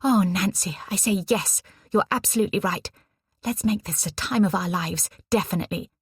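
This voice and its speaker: A woman's voice: medium pitch, moderate pace, slightly rough, no echo, studio quality. A woman voicing a refined young society lady who now serves as a war artist. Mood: incredulous.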